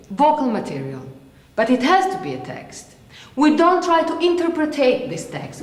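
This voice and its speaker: Italian-accented woman in an echoey hall. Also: fairly high pitch. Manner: plain, explanatory, slightly broken English. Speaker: stage actress. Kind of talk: work demonstration lecture. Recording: echoey hall with faint noise.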